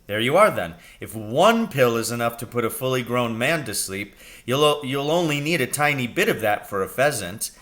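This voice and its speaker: Man acting a bright-voiced, excitable, affectionate father. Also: mid-range voice, moderate pace, few pauses, natural voice. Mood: eager, confident. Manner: exclamatory, fond endearments. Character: excitable, dreamy, affectionate father scheming at poaching.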